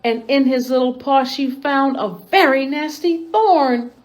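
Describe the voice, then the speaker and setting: A woman reading picture books aloud to children in an expressive storytime style, as a host with a deliberate, animated delivery. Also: high-pitched.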